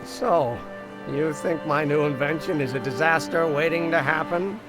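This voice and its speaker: A man's voice: fairly high pitch, normal speed, music in the background, lightly acted. An actor voicing a stubborn, melodramatic young male inventor in a video game, theatrical and emphatic. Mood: excited.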